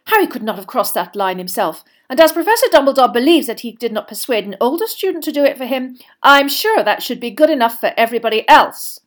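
Woman playing a stern, sharp woman professor. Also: high voice, sing-song, pitched above the performer's own voice. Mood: angry. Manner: sharp, emphatic, brisk.

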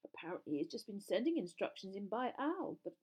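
A woman's voice: medium pitch, big pitch swings, brisk, darkish tone, breathy edge, muffled recording. A woman voicing a jovial but nervous, wheedling middle-aged man, a sports official in a storybook, with a hesitant, chummy, blustering manner. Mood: nervous, evasive.

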